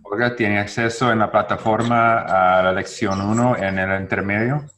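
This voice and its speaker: A man's voice: on the low side, fairly quick. A man in his fifties leading a casual bilingual language session on a video call from a home room, mixing English and Spanish and correcting himself as he goes. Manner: mixes English and Spanish, relaxed, self-correcting. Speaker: language exchange facilitator. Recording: faint noise on a video call.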